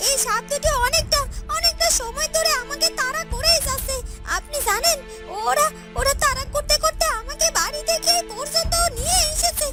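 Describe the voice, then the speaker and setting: A woman's voice: extremely high pitch, moderate pace, over music. A woman playing a frightened, pleading young woman in a fairy-tale cartoon, repeating her words anxiously. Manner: breathless repetition of words, pleading.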